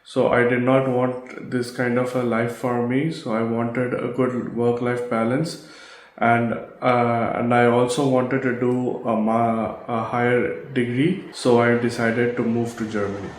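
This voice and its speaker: A man's voice: mid-range voice, level tone, a little slow. A man in his thirties with an Indian accent, telling his personal story. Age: 30s. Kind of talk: personal story told to camera. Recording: echoey room with background noise.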